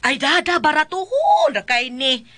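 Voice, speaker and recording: A vain spendthrift wife in a radio drama, speaking with a Cebuano Filipino accent. Her voice is high and sharp, and she rambles in gushing exclamations, boastful and quick to snap. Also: wildly swooping pitch, full character voice. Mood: gushing, showy.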